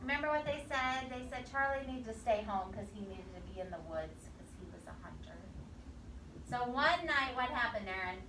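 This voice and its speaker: A woman with a fairly high voice talks young children through a picture book as their teacher. She prompts them with questions and echoes what they answer. The recording is distant and echoey, with clear background noise. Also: expressive, moderate pace, bright, forceful tone. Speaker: preschool or kindergarten teacher. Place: indoor classroom. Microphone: camera a few metres away, voice through face mask.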